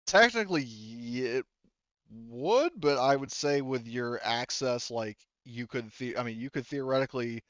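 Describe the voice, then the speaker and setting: A man's voice: mid-range voice, normal speed, a bit bright, dry recording, lo-fi sound. A man talking casually as game master of a tabletop game, swearing freely.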